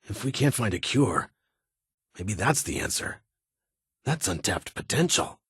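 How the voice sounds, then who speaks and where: An actor playing a stern, guarded security chief in a post-apocalyptic video game, with a raspy voice and a formal, measured, dry manner. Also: male voice, lowish voice, big pitch swings, a bit bright, dry recording, exaggerated voice. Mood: grave, reflective. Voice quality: husky, raspy.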